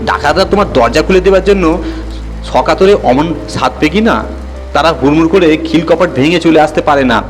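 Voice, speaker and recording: Man voicing a sharp-tongued scolding wife. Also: on the high side, natural voice. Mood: scornful scolding. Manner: snapping, mocking, brisk. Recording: long reverb, background music.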